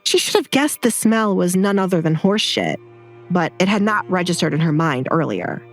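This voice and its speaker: A woman's voice: on the low side, expressive, moderate pace. A woman narrator reading a scripted, dramatic audio story with music behind her.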